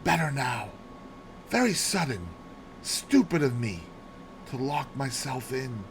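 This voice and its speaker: A stricken old lady in a mystery audiobook, played by a male narrator, gasps out short, weak phrases. The voice wavers widely in pitch, with faint noise in the background. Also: very animated, takes it slowly. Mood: weak, gasping. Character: stricken old lady, mistress of a country house.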